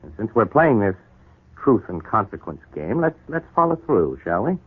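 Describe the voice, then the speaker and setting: An actor playing a troubled, guilt-ridden husband in a 1940s radio soap opera, brooding, deliberate and tender. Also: male voice, on the low side, sing-song, slightly rough. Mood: earnest, pressing. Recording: muffled, narrow 1940s radio broadcast recording.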